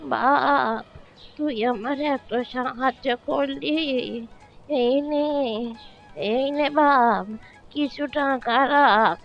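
A woman's voice: high-pitched, drawn-out words, vocal fry, muffled recording, soft background music, heavily acted. A woman playing a frail, kindly old village granny in a cartoon, pleading and coaxing as she repeats herself. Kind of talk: cartoon voice acting.

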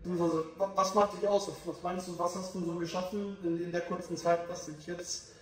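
A man's voice: high-pitched, little pitch movement, fairly quick, far from the mic. A young man interviewing a DJ, asking long, rambling questions full of fillers. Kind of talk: casual interview. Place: small backstage room with sofa, indoors. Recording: echoey room, voice off-mic.

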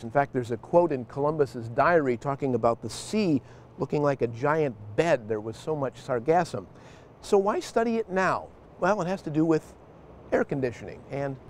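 A man's voice: a little high, darkish tone, a little hiss or hum. Man narrating a science segment. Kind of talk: scripted narration.

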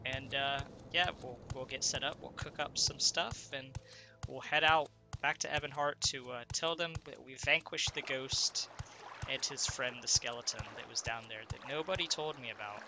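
A man's voice: medium pitch, moderate pace, over music. A man with a stuffed-up voice, casually talking through his gameplay and asking himself questions as he goes. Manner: chatty, thinks aloud, asks himself questions. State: stuffed up, congested. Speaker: let's play gamer.

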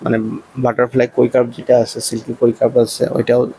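A man's voice: medium pitch, completely flat delivery, a little fast, mellow, slightly rough, low-quality recording. A man with a Bangladeshi accent presenting goods in a promotional shop-tour commentary, with repetitive sales patter. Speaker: presenter showing fish for sale.

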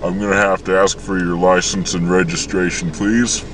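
Man doing a stern state trooper, lowish voice, officious, far from the mic, noisy background. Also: level tone, moderate pace. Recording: distant mic with background noise.

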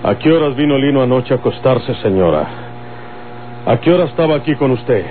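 An actor playing a grave, measured bandit leader with a Mexican accent in an old radio drama. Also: male voice, mid-range voice, animated, narrow, tinny audio, soft background music, lightly acted. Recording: thin old radio recording with mains hum.

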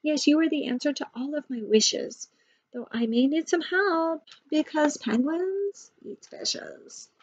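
Tender high-voiced mother tree kangaroo, read by a woman. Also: slow pace, muffled recording, a little hiss or hum. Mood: loving surprise. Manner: tender, expressive storybook delivery.

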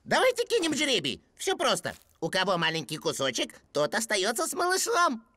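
A squeaky fussy green sand fairy. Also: female voice, wildly swooping pitch, normal speed, heavily acted. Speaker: voice actor in animated series dub. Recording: studio voice with loud music behind.

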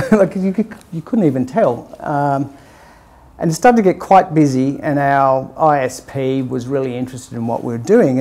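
Australian founder in his forties, warm relaxed tone, chatty storyteller at a small talk. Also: mid-range voice. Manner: relaxed anecdotal storytelling with chuckles. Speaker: startup founder giving a talk. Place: small meeting room with a screen. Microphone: lapel mic.

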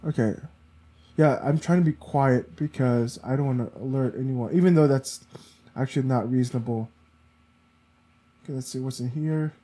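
A man's voice: medium pitch, fairly even intonation, normal speed, mellow, husky. A sniffly, congested man giving casual gameplay commentary, muttering to himself as he plays. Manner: muttering to himself while playing. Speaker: let's play gamer.

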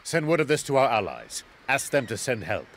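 An actor playing a proud, defiant general in a video game, grave and commanding. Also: male voice, medium pitch, very animated, a little fast, a bit bright, a little hiss or hum. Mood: grim command. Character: stern Greek male general, proud and defiant. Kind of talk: dramatic video game cutscene acting. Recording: faint outdoor ambience behind.

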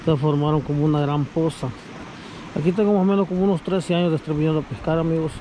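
Man, chatty fishing vlog, slightly high voice, windy outdoor recording on a body camera. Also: quick pace. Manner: chatty, addresses viewers as friends, excited when fish bite. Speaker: fishing vlogger. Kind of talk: casual outdoor commentary to viewers. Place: outdoors on a frozen reservoir and by a creek. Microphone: action camera worn on the body. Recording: wind and outdoor noise in the background.

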